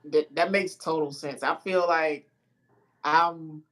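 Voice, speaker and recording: A host talks through personal growth in an easy, unhurried way, often circling back to find the words. They act out their inner voice in short, emphatic bursts. The pitch sits in the middle range. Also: steady tone, a bit bright. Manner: loose, searching speech, acts out inner dialogue. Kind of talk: casual conversation.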